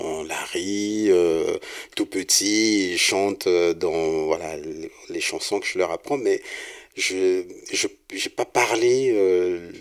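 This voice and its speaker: A middle-aged man from Congo, a singer-songwriter being interviewed, speaking in a relaxed, hesitant way with many fillers and restarts. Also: low-pitched.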